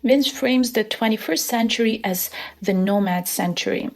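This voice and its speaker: A woman from Armenia in her twenties, talking through an essay video in an earnest, measured way with soft laughs. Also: a little high. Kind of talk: scripted explainer with personal story.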